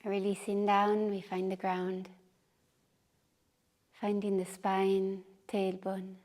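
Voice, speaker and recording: A woman teaching meditation and gentle movement, guiding in short, unhurried phrases with pauses. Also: mid-range voice, completely flat delivery. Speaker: taiji and qigong meditation teacher. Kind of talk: guided meditation and movement instruction. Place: retreat session, live group class.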